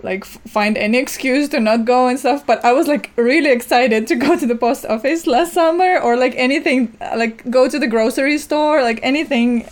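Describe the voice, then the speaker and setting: Relaxed woman chatting casually. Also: sits high, brisk, hardly any pauses. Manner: relaxed, filler-heavy storytelling. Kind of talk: casual chat.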